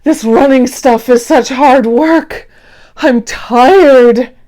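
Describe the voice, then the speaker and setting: A woman's voice: high voice, drawn-out words, warm tone, pitched above the performer's own voice. A woman voicing a pleasant, earnest male sloth character from a children's storybook, expressively. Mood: weary.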